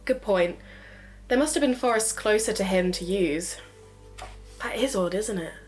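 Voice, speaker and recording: A woman's voice: mid-range voice, normal speed, faint music behind, natural voice. A woman playing a casual, curious young friend in an audio drama, informal and inquisitive. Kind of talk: naturalistic audio drama dialogue. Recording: echoey room with faint noise.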